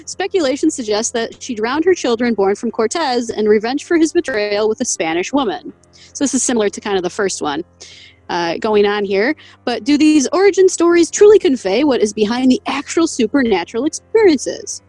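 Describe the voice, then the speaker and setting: A woman co-hosting a casual podcast, reading a folk legend from an article. Her voice sits a little high and she laughs often, stumbling over names. The recording is dry and close, with faint music underneath. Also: big pitch swings, fairly quick, a little mellow, no room sound, rough recording. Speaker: podcast co-host.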